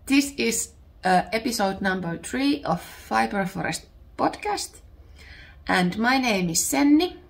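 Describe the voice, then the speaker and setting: A woman in her thirties from Finland, with a Finnish accent, chatting casually as a podcast host while her voice recovers from illness, pausing to search for words. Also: fairly high pitch, sing-song, vocal fry. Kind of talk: casual chat. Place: home.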